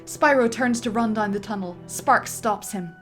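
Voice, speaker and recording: A woman reads a script's stage directions aloud, in a slightly raised voice. Her delivery is lively and dramatic, and she sounds like she is enjoying herself. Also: a little high, moderate pace, faint music behind. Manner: lively, dramatic narration with laughter. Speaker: narrator.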